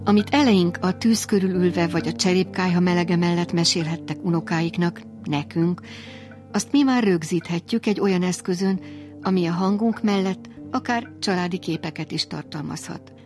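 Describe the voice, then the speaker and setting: A woman's voice: lowish voice, music in the background. A woman presenting a radio programme with scripted narration.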